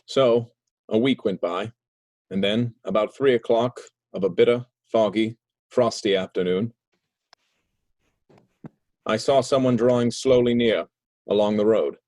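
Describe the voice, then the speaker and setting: A man narrates a story in a low voice with an even, measured delivery. The sound is dry and close. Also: dry recording.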